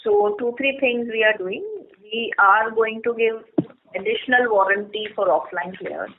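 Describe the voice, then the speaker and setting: A woman's voice: a little high, animated, a little slow, slight background noise. Woman executive on a muffled conference line. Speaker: company managing director on an earnings call. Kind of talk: business question-and-answer.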